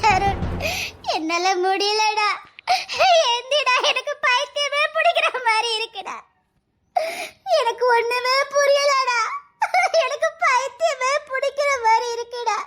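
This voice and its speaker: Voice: very high-pitched, noisy background. A voice actor playing a distraught young woman who pleads and sobs over her injured brother in a melodramatic serial. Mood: sobbing, pleading. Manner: sobbing, pleading, drawn-out.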